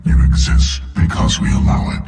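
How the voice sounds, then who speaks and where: A man's voice: normal speed, close to an everyday voice. A man playing an ancient, arrogant machine intelligence in a video game, speaking in grandiose, cold, contemptuous declarations. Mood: cold menace. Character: ancient godlike sentient machine, arrogant, menacing destroyer of organic life. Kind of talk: video game villain voice acting. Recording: echoing, loud music behind.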